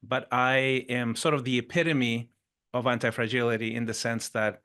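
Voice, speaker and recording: A man's voice: mid-range voice, steady tone, moderate pace, fairly bright tone, smooth. A middle-aged man from Chile, a podcast guest telling his life story in a conversational way, often adding "sort of" and "right?". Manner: frequent "sort of" and "right?" tags, brief restarts. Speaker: podcast guest, performance coach.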